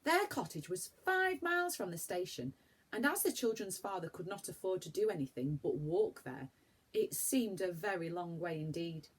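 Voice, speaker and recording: A woman with a fairly low, husky voice reads a children's storybook. Her pitch swings widely as she leans on words dramatically, in the manner of a primary teacher addressing a young class. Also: moderate pace. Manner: animated, dramatic emphasis for young listeners. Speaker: primary school teacher reading to pupils. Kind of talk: expressive children's storybook reading.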